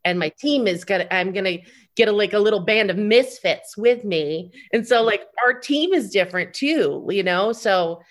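A woman's voice: medium pitch, animated, moderate pace. A woman in her thirties speaking as a guest in a casual podcast chat, outspoken and telling stories with acted-out quotes. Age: 30s. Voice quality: loud voice. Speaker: interview guest, wedding business owner.

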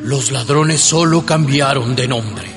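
A man playing personified gold, radio drama, stern, mocking, slow, long reverb, loud music. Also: medium pitch, natural voice. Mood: scolding. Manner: slow, stern, corrects and scolds. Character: gold speaking from deep in a mountain, stern, mocking.

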